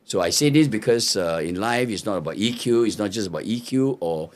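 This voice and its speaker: Older man telling stories in a low voice. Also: fairly quick. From Singapore. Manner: lively storyteller, mimics market hawkers' calls. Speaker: guest. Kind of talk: storytelling interview answers.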